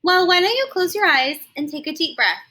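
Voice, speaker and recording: A woman's voice: extremely high pitch, animated, a little fast, pushed and bright, voice pushed up, full character voice. A woman voicing a patient, encouraging storybook mother in a coaxing, reassuring manner.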